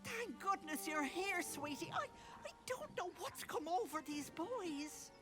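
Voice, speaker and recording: An actress playing a folksy, doting old herbalist auntie with a sly streak, in a theatrical, cooing video game performance. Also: female voice, very high voice, animated, music in the background. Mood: feigned distress. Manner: cooing, theatrical, slow and sing-song endearments. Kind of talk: video game character acting.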